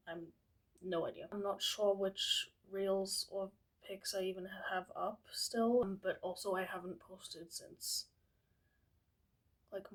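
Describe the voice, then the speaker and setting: A woman's voice: medium pitch, darkish tone, low-quality recording. A 22-year-old woman from England, chatting casually to camera as a vlogger, rambling and hesitant with many fillers.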